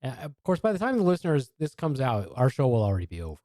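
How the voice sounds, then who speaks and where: A man's voice: medium pitch, huge pitch swings, fast, mellow, no room sound, studio quality. A man hosting a podcast, chatting casually and teasing his co-host in a rambling way.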